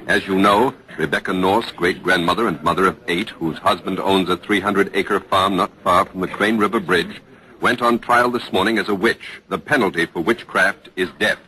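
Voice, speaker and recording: A man reporting in 1940s radio news style as host, formal, crisp and probing, on a vintage broadcast recording. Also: low voice, steady tone, normal speed, sharp tone, a little hiss or hum, rough recording.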